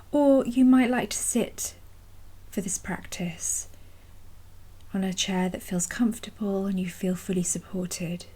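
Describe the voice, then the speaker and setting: A woman leading a guided meditation in a soft, breathy, husky voice, gently and without hurry, leaving long pauses. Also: mid-range voice. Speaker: mindfulness practice guide. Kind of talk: guided body scan meditation.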